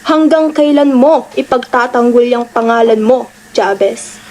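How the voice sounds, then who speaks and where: Woman as anxious political wife, radio drama; high, pleading, placating voice. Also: fairly even intonation, measured pace, a little mellow, natural voice. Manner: pleading, soothing.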